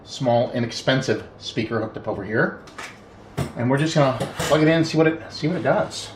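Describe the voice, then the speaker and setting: A middle-aged man casually demonstrating electronics at a workbench, thinking aloud in an unhurried way as he works. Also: medium pitch. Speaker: electronics repair hobbyist, host. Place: small indoor home workshop at a bench. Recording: roomy, distant mic with background noise.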